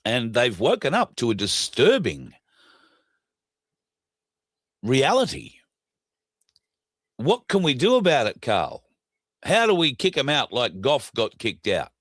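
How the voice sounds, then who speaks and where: An Australian man with a scratchy, hoarse throat, hosting a casual talk show, plain-spoken and indignant, pausing often with fillers. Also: mid-range voice, wildly swooping pitch, fairly bright tone, dry recording, clean studio sound. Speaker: show host.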